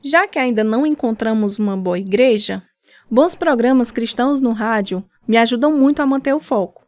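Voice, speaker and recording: A woman's voice: on the high side, moderate pace, no room sound, close to an everyday voice. A woman playing a devout, loving mother in a radio drama, speaking earnestly and tenderly. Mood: fond, earnest. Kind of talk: scripted radio drama dialogue.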